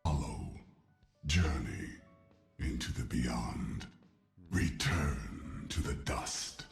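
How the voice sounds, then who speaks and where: A man voicing an ancient, stoic jackal-headed god-warrior in a video game, solemn and measured, with a processed, otherworldly voice. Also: animated, creaky, faint music behind, exaggerated voice. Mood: grave, ominous. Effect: deepened, processed monster-like voice. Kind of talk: scripted video game champion lines.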